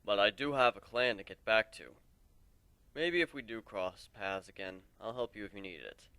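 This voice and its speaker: A man voicing a guarded, haunted male fighter in a measured, serious dramatic reading. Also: fairly low pitch, pushed and bright, clean tone, dry recording. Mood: reserved. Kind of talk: dramatic reading of fan fiction.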